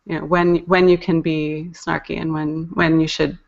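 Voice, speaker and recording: A woman with a fairly low voice speaks as a guest in a casual interview. She feels her way through her thoughts, pausing and restarting. The sound is somewhat dull, like an internet call. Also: flat delivery, creaky. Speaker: guest, poetry podcast editor and narrator.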